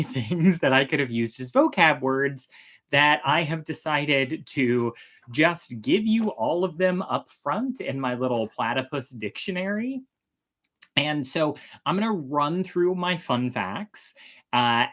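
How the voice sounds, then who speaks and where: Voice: medium pitch, a bit bright. A storyteller hosting a show, chatting informally to viewers and explaining from notes with loose, hedging phrasing. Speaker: host and storyteller. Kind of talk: casual explanatory chat to viewers, reading from notes.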